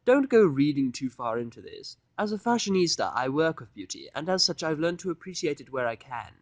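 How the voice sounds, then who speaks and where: A man voicing a refined, theatrical female pony fashionista in an audiodrama, fussy and flattering, with affected, drawn-out genteel phrasing. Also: a little high, no room sound, voice pushed up. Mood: matter-of-fact, lecturing. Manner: affected, drawn-out, genteel delivery with terms of endearment. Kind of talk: audiodrama fan fiction character voice.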